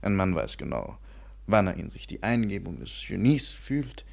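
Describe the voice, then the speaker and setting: Gravelly, self-important painter. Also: male voice, deep voice, big pitch swings, moderate pace, full character voice. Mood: haughty. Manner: haughty, pompous. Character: touchy, self-important male Parisian painter, newly puffed up. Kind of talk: 1950s German radio drama acting.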